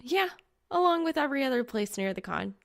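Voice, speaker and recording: A woman voicing a fiery, excitable young woman gamer in an audiobook, speaking in a dramatic, flustered, slangy way. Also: high voice, a little fast, creaky, pitched above the performer's own voice, full character voice. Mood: exasperated. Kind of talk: audiobook character dialogue.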